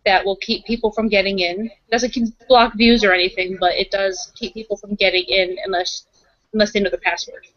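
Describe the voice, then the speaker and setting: A woman improvising roleplay conversation, thinking aloud haltingly and often repeating her phrases. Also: mid-range voice, fairly even intonation, a bit bright, no echo, highs cut off. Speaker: tabletop roleplaying player and game runner.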